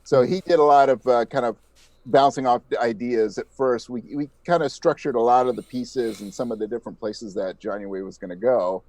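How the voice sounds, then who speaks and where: A man's voice: medium pitch, a little fast, darkish tone, no echo. A man talking casually as an interviewed podcast creator.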